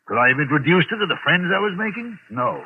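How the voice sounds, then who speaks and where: A man's voice: fairly high pitch, big pitch swings, quick pace, husky. An actor playing a pompous, snobbish middle-aged businessman with a haunted streak, clipped and imperious, in old-time radio drama style. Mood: defensive, wistful. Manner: imperious, clipped, self-important. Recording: noisy, tinny vintage radio recording.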